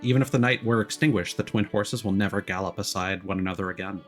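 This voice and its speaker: Low-voiced man musing over a game. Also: fast talker. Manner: musing, thinking out loud. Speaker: streamer playing a video game. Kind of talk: casual commentary, sometimes reading game text aloud. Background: faint game music.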